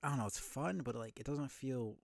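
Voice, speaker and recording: A man with a low voice giving casual, informal live commentary as a video game streamer, thinking out loud. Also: brisk, creaky. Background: game music behind the voice.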